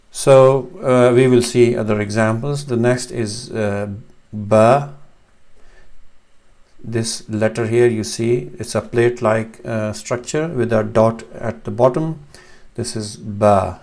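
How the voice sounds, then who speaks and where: A man from Pakistan whose first language is Urdu, talking into a desk webcam in a small room at home. His voice sits low with a gravelly edge, and he teaches hesitantly, full of fillers and restarts. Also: darkish tone. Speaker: Arabic teacher. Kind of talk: screen-share lesson.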